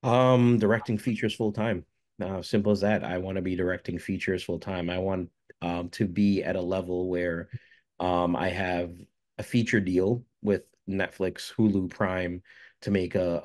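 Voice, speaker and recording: Casting: very low-voiced young man from jamaica, rambling interview guest. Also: darkish tone. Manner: rambling, many fillers and restarts. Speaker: filmmaker guest. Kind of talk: casual interview answers.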